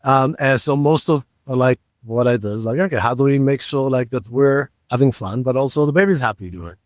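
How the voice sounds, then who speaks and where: A man in his thirties from Israel with an Israeli accent, co-hosting a casual podcast and talking in a halting, joking way with fillers. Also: medium pitch, no echo, clean studio sound. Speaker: podcast co-host. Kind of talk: casual chat.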